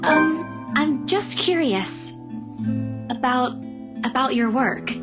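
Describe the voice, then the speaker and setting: A woman's voice: sits high, lively intonation, slow, loud music behind the voice. An actress playing a good-hearted, curious young working woman in a visual novel, with a hesitant, stammering delivery. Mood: polite, curious.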